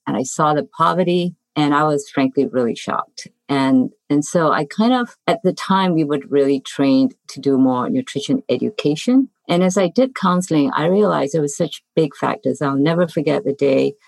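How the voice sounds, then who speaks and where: Casting: woman from Singapore, very low voice, dry close recording, reflective panelist. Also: lively intonation, moderate pace, creaky, hardly any pauses, a little mellow, no room sound, poor audio. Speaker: panelist, nutrition expert. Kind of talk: panel discussion.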